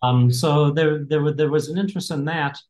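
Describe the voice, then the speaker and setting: A man's voice: a little high, steady tone, normal speed, a little mellow. An American man in his fifties, an executive coach speaking as an interview guest in a reflective way, with many fillers and restarts. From United States. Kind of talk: casual interview talk. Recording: roomy echo.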